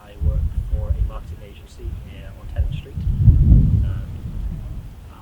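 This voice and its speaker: A man's voice: on the low side, completely flat delivery, moderate pace, phone-quality sound. Casual man with traffic noise behind. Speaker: interviewee, graphic designer. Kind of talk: casual street interview chat. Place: outdoors in a city street. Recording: clear traffic noise outdoors.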